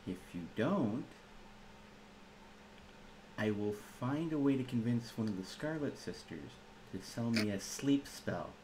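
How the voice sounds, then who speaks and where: A man's voice: mid-range voice, expressive, measured pace, pauses often. A man playing a tabletop role-playing game, speaking casually as he describes what his character does and says. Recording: clear background noise, keyboard typing.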